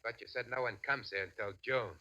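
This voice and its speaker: A man playing a greedy, suspicious gunman in a 1940s radio drama, with gruff, pushy, clipped talk. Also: medium pitch, fairly even intonation, a little fast, bright, forceful tone, lo-fi sound. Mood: wary, menacing. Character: greedy, suspicious, threatening male crook with a gun.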